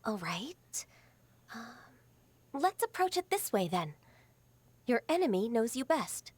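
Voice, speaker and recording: A woman voicing a polite, precise young secretary in a game, speaking in a calm, formal, measured way. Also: fairly high pitch, slightly rough, full character voice. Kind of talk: game voice acting. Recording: game audio under music.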